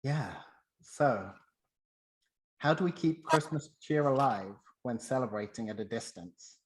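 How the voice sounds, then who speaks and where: Man, British accent, medium pitch, presenter, semi-scripted personal storytelling. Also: animated, a little slow, rough recording.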